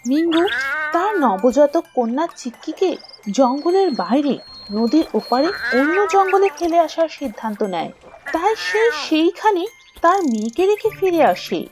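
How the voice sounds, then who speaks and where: A woman narrating a cartoon story in an expressive, storybook manner. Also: high voice, moderate pace, over music.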